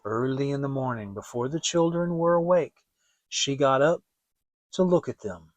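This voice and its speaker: A man giving an expressive, theatrical audiobook reading of a fairy tale as storyteller. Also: medium pitch, moderate pace, dry recording.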